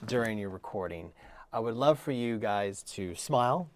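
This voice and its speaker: Chatty low-voiced man testing microphones. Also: expressive, normal speed, dry recording. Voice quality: baritone voice. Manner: chatty, moves close to and away from the mic while demonstrating. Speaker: cello teacher hosting a live stream. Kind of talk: casual livestream demo talk. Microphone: switches between several desk microphones.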